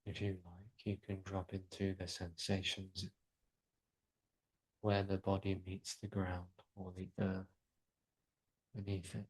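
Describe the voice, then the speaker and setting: Male yoga nidra guide, lowish pitch, very flat intonation, soothing and unhurried delivery. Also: slightly rough, low-quality recording. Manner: soothing, unhurried, pausing between short phrases. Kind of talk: guided relaxation meditation.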